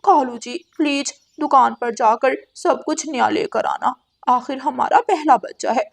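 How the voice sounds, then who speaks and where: A woman's voice: high-pitched, a little slow, vocal fry, exaggerated voice. A woman voicing a long-suffering crow wife and mother in a moral-story cartoon, plaintive and given to sarcasm. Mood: pleading.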